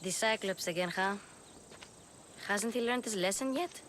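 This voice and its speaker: A woman's voice: medium pitch, normal speed, fairly bright tone, a little hiss or hum, natural voice. An actress playing a playful, curious young girl in a video game. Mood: concerned. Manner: playful, teasing. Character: cheeky, curious young Greek orphan girl. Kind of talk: video game character acting.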